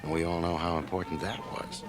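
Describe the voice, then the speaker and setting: A man's voice: low-pitched, flat delivery, fairly quick, loud music behind the voice, natural voice. An actor playing a glib, self-satisfied young man with ambition, breezy and pompous in radio drama dialogue. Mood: breezy, cocky. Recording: 1980 radio drama, home cassette transfer.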